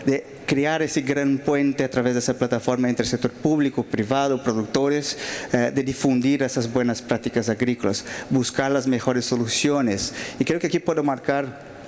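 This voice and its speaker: A man's voice: medium pitch, steady tone, moderate pace, darkish tone. A man from Brazil with a Brazilian Portuguese accent speaking Spanish, giving closing remarks at a conference panel with many hesitant fillers and restarts. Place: large auditorium.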